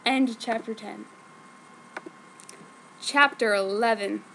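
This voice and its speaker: A woman's voice: fairly high pitch, takes it slowly, a bit bright. A woman narrating fan fiction in a theatrical, mock-dramatic reading style. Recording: clearly noisy home recording.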